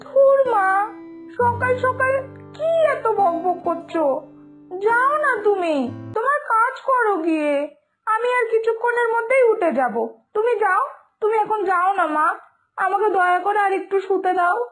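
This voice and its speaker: A woman's voice: extremely high pitch, unhurried, a bit bright, soft background music. A woman voicing a lazy, defiant village daughter who whines and talks back in a cartoon fairytale. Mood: sleepy, irritated. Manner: whiny, irritated back-talk.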